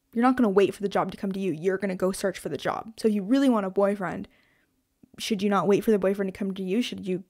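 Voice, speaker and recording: A woman of twenty hosting a solo podcast, talking in a chatty, animated way with lots of fillers and self-corrections. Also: medium pitch. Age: 20s. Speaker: podcast host.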